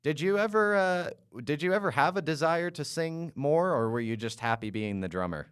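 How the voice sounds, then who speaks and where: A man hosting a casual music interview, phrasing his questions in a hesitant, halting way. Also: a little high, lively intonation, a bit bright, smooth, no room sound, clean studio sound. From Calgary, Canada. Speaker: interviewer, podcast host.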